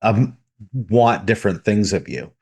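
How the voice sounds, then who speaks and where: Low-voiced man explaining step by step. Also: expressive, measured pace, darkish tone, slightly rough. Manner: methodical, lays out numbered points. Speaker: podcast host. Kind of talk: explanatory podcast chat.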